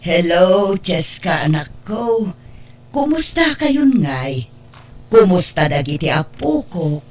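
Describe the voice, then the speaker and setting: A woman's voice: lowish voice, very animated, slow pace, darkish tone, exaggerated voice. A woman playing an older mother and doting grandmother, anxious and remorseful, in an Ilocano-accented radio drama. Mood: tender, delighted. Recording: music bed behind the voice.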